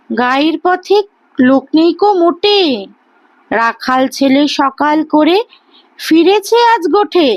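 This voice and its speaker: A woman reciting a poem expressively, as a child would speak, with tender, deliberate phrasing and pauses. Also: sits high, dry recording, poor audio. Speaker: poetry reciter.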